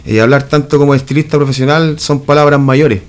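Chilean guy in his 30s, quick talker, a bit high, rambly and thankful, radio studio guest. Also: steady tone. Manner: chatty, rambling, grateful. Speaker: guest, hairdresser and urban artist. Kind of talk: radio interview.

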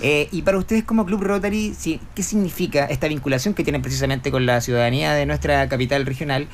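A man's voice: on the high side, quick pace. A Chilean man in his thirties hosting a live radio interview in an effusive manner. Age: 30s. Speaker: radio host. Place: radio studio. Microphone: close studio microphone. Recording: clearly noisy background.

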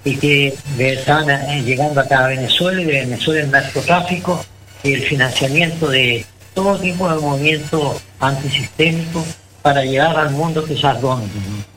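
A Chilean man co-hosting a radio talk show. He gives rambling, opinionated commentary and keeps restarting his sentences. His voice sits at a middling pitch. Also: moderate pace, pushed and bright, rough recording. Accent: Chilean Spanish. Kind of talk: casual political talk on radio.

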